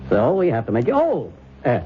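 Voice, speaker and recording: Genial musing expert, thin tinny radio. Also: male voice, medium pitch, huge pitch swings, brisk. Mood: absorbed, pleased. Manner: musing, hesitant, easygoing. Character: genial, absorbed professional make-up artist. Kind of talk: radio drama acting. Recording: thin, noisy old radio drama recording.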